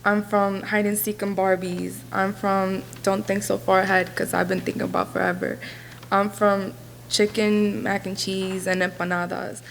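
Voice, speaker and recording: A young woman recites short poem lines as a student performer. Her voice has a gravelly creak and stays flat in pitch. Faint noise sits behind her. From Buenos Aires, Argentina. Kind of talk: reciting a personal spoken word poem.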